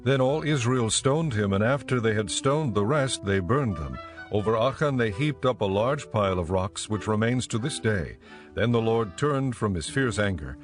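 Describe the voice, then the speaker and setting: A male narrator reads scripture as a dramatic story. His voice is fairly low and his delivery measured. Quiet music runs underneath. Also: expressive.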